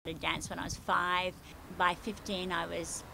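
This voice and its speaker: A woman talks reflectively about the group she leads. Her voice is on the low side. Steady background noise runs under the recording. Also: measured pace, gravelly. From Australia. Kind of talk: documentary interview. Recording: noisy location interview.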